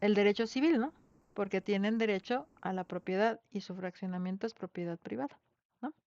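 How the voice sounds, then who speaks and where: A woman's voice: medium pitch, fairly quick, a little mellow, no echo. Mexican lady teacher doing an online lecture, chatty, lots of little check-in questions, kinda lo-fi audio. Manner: conversational, frequent tag questions. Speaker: teacher leading an online class. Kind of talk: informal lecture with questions to students. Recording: dull lo-fi online class audio.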